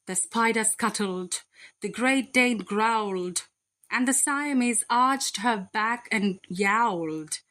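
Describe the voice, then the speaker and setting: A woman reading a rhyming children's bedtime story aloud in a deliberate, expressive, dramatic way. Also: a little high.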